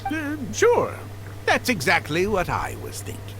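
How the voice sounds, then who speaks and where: An actor playing a genial older guide in a video game, a wheedling, easily flustered gambler with a courteous, hesitant delivery. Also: male voice, high voice, huge pitch swings, a little slow, a bit bright, slight background noise, full character voice. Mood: grumbling, wheedling.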